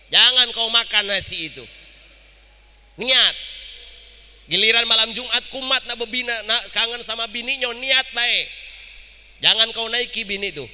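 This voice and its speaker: A man's voice: extremely high pitch, pushed and bright. A man preaching in a mosque, teasing and joking with his listeners as he talks to them directly. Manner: humorous, teasing, addresses audience directly. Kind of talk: mosque sermon. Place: mosque hall. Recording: long hall reverb.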